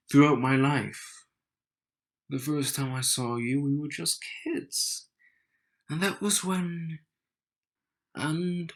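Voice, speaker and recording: Man playing a slow-talking, cocky young bridegroom. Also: mid-range voice, heavily acted. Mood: soft, reminiscing. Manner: slow, teasing, smug, with sighs and soft chuckles. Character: cocky, wry, bitter young man in arranged marriage. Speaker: audio roleplay voice actor. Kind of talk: intimate scripted audio roleplay addressed to the listener.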